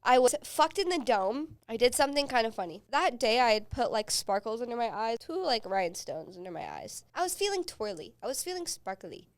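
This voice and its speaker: A woman's voice: fairly high pitch, very animated, fairly quick, hardly any pauses. A young woman hosting a podcast, telling casual stories with frequent laughs and swearing. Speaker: podcast host. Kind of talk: casual chat.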